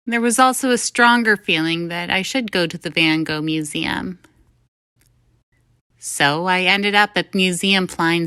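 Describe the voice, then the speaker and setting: A woman's voice: on the low side, sharp tone, clear, resonant tone, dry recording, clean studio sound. A woman reading a first-person short story as scripted narration. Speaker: story reader.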